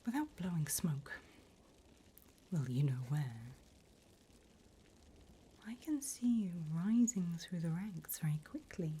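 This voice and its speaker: A woman playing a courteous, sinister demon interviewer in a slow, breathy whisper for an ASMR roleplay. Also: fairly low pitch, very animated, a little hiss or hum. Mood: delighted, sinister. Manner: slow, deliberate, courteous, softly menacing. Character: infernal demon interviewer, politely sinister, delighted by wickedness.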